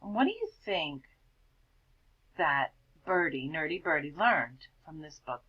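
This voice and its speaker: Woman teacher, read-aloud for kids; breathy tone, lively sing-song pitch, gentle prompting questions. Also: dull audio. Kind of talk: reading a picture book aloud to a class.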